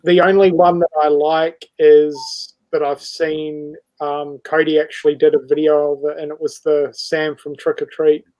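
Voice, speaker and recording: A man's voice: a little high, level tone, a little slow, hardly any pauses, a little mellow, dry recording, poor audio. A man talking casually on a live show, asking questions and sharing opinions. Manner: asks questions, chatty. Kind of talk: casual live-stream chat.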